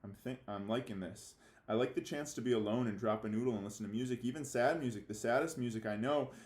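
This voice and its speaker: A man's voice: mid-range voice, fast talker, darkish tone, natural voice. Man reading as an anxious boy in an echoey room. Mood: defiant, pleased. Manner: confessional first-person storytelling with emphatic, childlike phrasing. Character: anxious, defiant young boy left home alone, narrating. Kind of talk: read-aloud of a children's novel.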